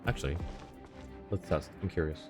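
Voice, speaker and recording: A man's voice: extremely low pitch, moderate pace. A man giving casual gameplay commentary as a livestreamer, muttering to himself. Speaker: game livestreamer. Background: game music.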